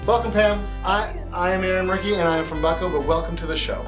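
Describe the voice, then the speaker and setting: A man's voice: high-pitched, fairly even intonation, quick pace. Man hosting a comedy interview over music. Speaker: comedy interview show host. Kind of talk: mock talk-show interview, improvised.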